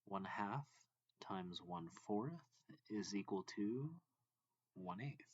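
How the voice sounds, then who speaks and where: Slow, low-voiced man reading a lesson. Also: slightly rough, dry recording, highs cut off. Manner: deliberate, broken into short phrases. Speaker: math reading tutor. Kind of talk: slow read-aloud of a textbook lesson.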